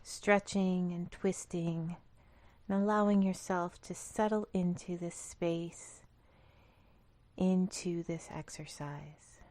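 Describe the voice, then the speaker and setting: A woman leading a guided meditation in a calm, gentle, instructional manner. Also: on the low side, takes it slowly, dry recording. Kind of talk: guided body scan meditation.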